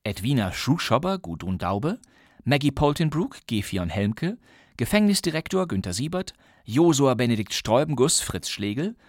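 A man's voice: medium pitch, sing-song, moderate pace, no echo, clean studio sound. A man reading out radio play credits as a scripted announcement.

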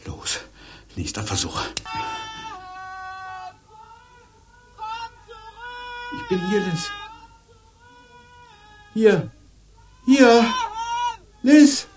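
An actor playing a confused, desperate husband who stammers and whispers, in a radio play. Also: male voice, high voice, huge pitch swings, drawn-out words, pauses often, distant mic, full character voice. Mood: despairing.

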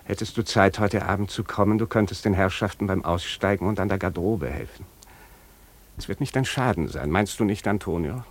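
Radio drama role: wistful aristocratic prince, lowish voice, polite, formal, musing. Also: male voice, fairly quick. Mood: courteous, hesitant. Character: courteous exiled prince, wistful, dreamy aristocrat. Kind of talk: German radio play acting.

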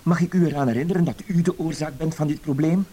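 An actor playing a formal, composed, aggrieved husband in a radio drama. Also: male voice, on the high side, fast, dark tone, slightly rough. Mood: reproachful, resigned. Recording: hissy old radio play recording.

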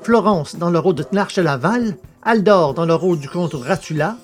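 A man's voice: high-pitched, expressive, normal speed, faint music behind. Formal male presenter reading credits. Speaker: presenter of the audio drama. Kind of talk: scripted credits announcement.

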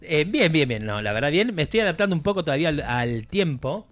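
A man's voice: fairly high pitch, sing-song, quick pace, fairly bright tone. A man with a Rioplatense Spanish accent, a guest on a radio show, telling a travel story in casual chat with many fillers and restarts. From Argentina.

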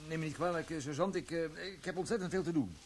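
An actor playing a flustered, evasive army captain in a radio play, hesitant and stumbling. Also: male voice, fairly high pitch, fast talker, slight background noise, lightly acted.

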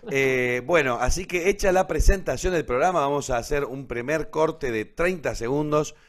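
An Argentine man hosting a live online show casually, in a rambling, halting way that often corrects itself. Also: a little high. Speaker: host of an online radio theatre show.

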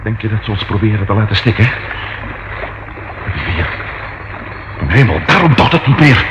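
An actor playing a detective's excitable, grumbling companion in a Dutch radio play, expressive and easily startled. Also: male voice, on the low side, normal speed, lo-fi sound. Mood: nervous, panicky. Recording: noisy old radio drama recording.

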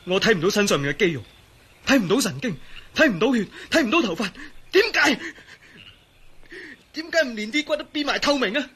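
A man's voice: extremely high pitch, expressive, brisk, pushed and bright, breathy edge, heavily acted. An actor playing a desperate, horrified man in a Cantonese radio drama, overwrought and pleading, with a Hong Kong accent. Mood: anguished. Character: anguished, desperate man horrified at becoming a living skeleton.

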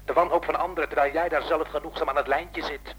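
A man's voice: fairly high pitch, steady tone, quick pace, a bit bright, husky. A man acting in a radio play as a bitter, menacing caller who taunts and insists. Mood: sneering, bitter. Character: menacing, bitter man tormenting an old acquaintance by phone. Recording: noisy radio play recording, telephone-like.

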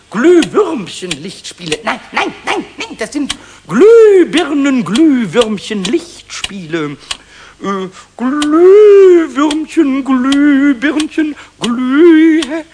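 Tipsy little kobold, children's radio play: high, unhurried, cheeky, excitable, sing-song. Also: male voice, noisy background, heavily acted. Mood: tipsy, playful.

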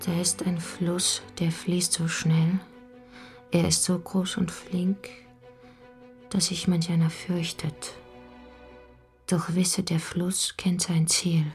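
Low-voiced, searching, earnest wife. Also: female voice, level tone, takes it slowly, long pauses, a little mellow, faint music behind. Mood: dreamy, earnest. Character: intense, spiritually searching unhappy wife named Rachel. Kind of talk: radio drama acting.

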